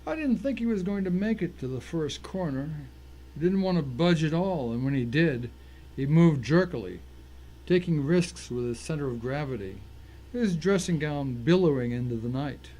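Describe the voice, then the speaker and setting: A grim first-person thriller narrator, performed by a man. His voice sits slightly higher than average for a man, and he tells the story as a dramatic scripted reading. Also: lively intonation, normal speed, warm tone, low-quality recording. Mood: tense, grim. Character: tense, vengeful male narrator of crime thriller.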